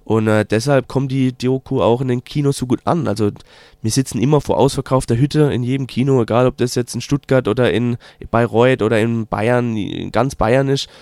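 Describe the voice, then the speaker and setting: A man with a Palatinate German accent talks casually about his work in an interview, mid-pitched and unhurried. He pauses often and fills gaps with hesitation sounds. The recording is dry and close. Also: a little mellow, dry recording. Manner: hesitant, many filler sounds, slow drawn-out phrasing. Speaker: documentary filmmaker guest.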